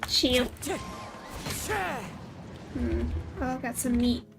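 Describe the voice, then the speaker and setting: A woman's voice: on the high side, completely flat delivery, drawn-out words, gravelly. A woman streaming a game, chatting casually with viewers and making playful asides. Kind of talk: casual live gameplay chat. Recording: faint game music behind.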